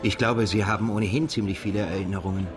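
A male actor playing a melancholy, haunted émigré narrator in a literary radio drama, speaking in a measured, reflective way. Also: lowish voice, steady tone, music in the background, close to an everyday voice. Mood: politely curious.

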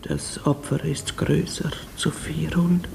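An actress playing a pious, guilt-ridden old maidservant, halting and deferential, in a radio play. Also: female voice, sits low, steady tone, creaky, mellow, whispered, full character voice. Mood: humbly insistent. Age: older.

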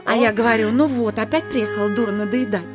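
An actress playing a fussy, sugary provincial hostess with a catty streak, cooing and exclaiming in theatrical audio drama style. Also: female voice, on the high side, quick pace, music in the background, natural voice. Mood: chatty, catty.

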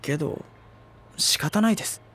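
An actor playing a reserved high school boy in an audio drama, narrating and talking in a polite, dry, exasperated manner. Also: normal speed, fairly bright tone, natural voice.

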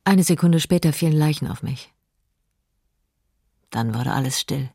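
Female survivor, a mother. Deep, raspy, restrained documentary radio drama read, very dry. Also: a little slow, no room sound, exaggerated voice. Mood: subdued, grave. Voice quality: dark, husky. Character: mother who survived a massacre shielding her daughter.

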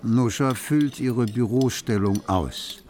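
An actor narrating a literary radio play as a storyteller. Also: male voice, mid-range voice, slow pace, darkish tone, close to an everyday voice.

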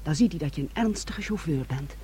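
A woman's voice: sits low, lively intonation, brisk, warm tone, breathy edge, full character voice. A woman acting in a radio drama as a bossy, affectionate young sister who gives firm instructions. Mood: bossy, fond.

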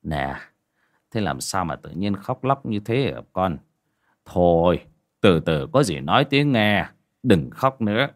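Man acting stammering old father, swooping pitch. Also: sing-song, takes it slowly, full character voice. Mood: soothing. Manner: stammering, repeating words when flustered. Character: anxious, protective old rural father, stammering and kindly. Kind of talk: audiobook character acting.

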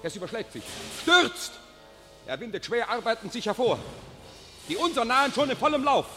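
An actor playing an excitable soldier lookout in a classical radio drama, calling out a battle report. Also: male voice, very high voice, a little fast, faint music behind, full character voice. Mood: tense report. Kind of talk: classical German stage-style radio drama. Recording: long hall reverb.

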